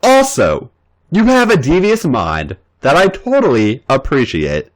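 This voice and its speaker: An eager, affectionate young man, as the male narrator reads him. He talks earnestly, and his voice swoops high and low. He sounds open and keen. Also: huge pitch swings, unhurried.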